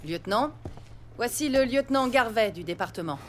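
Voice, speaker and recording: An actress playing a composed police captain in an audio drama, speaking in a formal, official manner. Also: female voice, fairly high pitch, lively intonation, moderate pace, background noise, close to an everyday voice.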